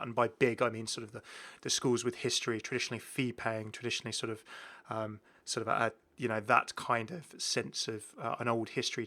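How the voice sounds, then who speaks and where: Bright-voiced, rambling British man. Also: mid-range voice. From United Kingdom. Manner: rambling, self-correcting, enthusiastic. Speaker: gaming video host. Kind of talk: casual game commentary.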